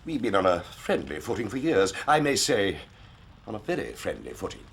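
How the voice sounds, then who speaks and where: An actor playing a haughty, touchy aristocrat with an upper-class English accent, pompous and clipped, in a period drama. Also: male voice, on the low side, huge pitch swings, moderate pace, creaky, slight background noise, full character voice. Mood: defensive. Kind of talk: period detective drama acting.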